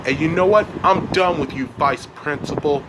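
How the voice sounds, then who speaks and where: A man's voice: a little high, little pitch movement, very slow, heavy background noise. A man voicing an angry, defiant schoolboy kickboxer in a story, indignant and insistent, repeating his phrases.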